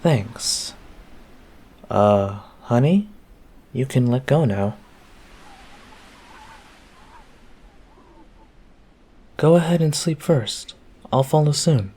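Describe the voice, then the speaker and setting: A man's voice: mid-range voice, animated, slow pace, long pauses, mellow, breathy edge. A man playing an affectionate, easygoing boyfriend in an intimate roleplay audio, talking tenderly and casually straight to the listener. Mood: tired, tender. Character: affectionate easygoing boyfriend home from work on a snowy night.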